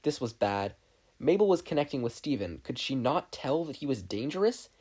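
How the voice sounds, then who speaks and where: A narrator with a husky, slightly rough voice at a middling pitch reads fiction aloud. The delivery is scripted and expressive, shaped as storytelling. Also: normal speed. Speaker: podfic narrator.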